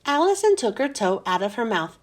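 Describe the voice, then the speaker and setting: A woman's voice: fairly high pitch, very animated, fairly quick. A woman hosting a children's read-aloud, narrating a storybook expressively.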